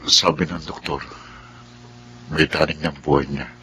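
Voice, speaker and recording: Casting: a solemn, imploring Filipino ex-husband, very low but forceful voice, radio drama. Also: male voice, fairly even intonation, unhurried, breathy edge, full character voice. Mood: pleading. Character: pleading estranged husband, worried for his ailing mother.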